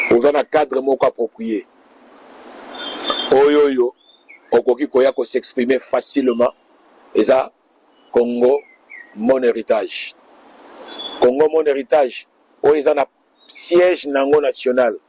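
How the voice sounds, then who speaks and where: A man's voice: mid-range voice, a little mellow, slight background noise, rough recording. A man answering interview questions as a party official, speaking in a speechlike way to the public.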